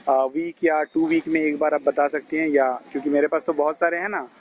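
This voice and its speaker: An Indian-accented man with a somewhat high voice gives health guidance on a recorded call. He speaks casually and reassuringly, slipping English terms into his advice like a consultant talking one to one. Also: steady tone, brisk, darkish tone, a little hiss or hum. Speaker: health advisor giving IBS guidance. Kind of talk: casual phone consultation, advising.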